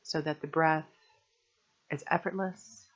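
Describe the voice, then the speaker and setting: Low-fidelity recording of a soothing woman guiding meditation. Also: steady tone, highs cut off. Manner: soothing, gentle, measured with long pauses. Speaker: meditation and yoga teacher. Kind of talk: guided meditation narration.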